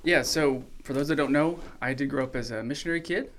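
A man who grew up in Mexico, a podcast guest calmly telling his faith story in a relaxed, reflective way. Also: medium pitch, quick pace. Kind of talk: casual testimony sharing.

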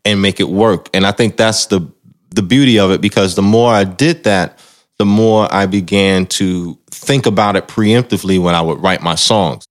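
A man's voice: deep voice, moderate pace. A man speaking as a musician guest in an interview, telling stories casually and reflectively, often repeating words for emphasis. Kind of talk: casual conversational storytelling about their own career. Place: music recording studio.

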